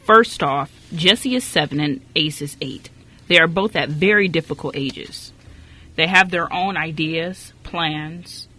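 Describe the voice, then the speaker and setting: Radio play role: formal, disapproving woman orphanage director, acted by a woman, blunt admonishments in a bright, forceful tone. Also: deep voice, a little slow, full character voice. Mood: stern. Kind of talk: amateur radio play acting.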